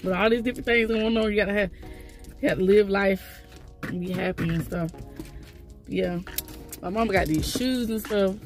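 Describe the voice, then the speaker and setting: A woman's voice: mid-range voice, normal speed, long pauses, faint music behind. A woman, chatting to her phone camera from a parked car. She sounds congested and rambles, with lots of fillers and restarts. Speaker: vlogger. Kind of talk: casual vlog chat to camera. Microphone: phone camera held close.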